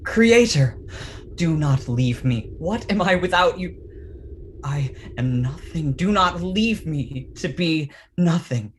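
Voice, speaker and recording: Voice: mid-range voice, expressive, slight background noise. An actor playing Frankenstein's creature, tormented and pleading with its maker in a dramatic staged reading. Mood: desperate pleading. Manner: slow, deliberate, imploring.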